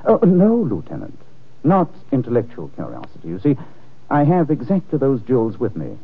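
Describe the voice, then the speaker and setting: Suave, wry radio detective, husky and lively. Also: male voice, mid-range voice, moderate pace, full character voice. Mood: amused, assured. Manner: dry, ironic, nonchalant, hesitates with polite uhs. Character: suave, wry, unflappable male amateur detective. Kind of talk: 1940s radio drama acting. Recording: dull, lo-fi old radio recording.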